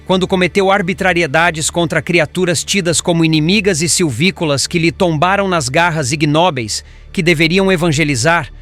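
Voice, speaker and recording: Man reading as higher-pitched solemn spirit mentor. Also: fairly bright tone, natural voice. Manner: solemn, formal, measured delivery. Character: male spirit instructor, patient, solemn, learned mentor. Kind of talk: scripted audiobook narration.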